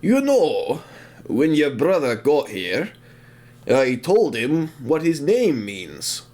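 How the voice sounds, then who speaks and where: A man voicing a big, kindly old tank engine with a Scottish accent, blunt and deliberate, in an audio drama. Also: fairly high pitch, huge pitch swings, darkish tone, exaggerated voice. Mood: thoughtful, firm. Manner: forward, deliberate, good-natured.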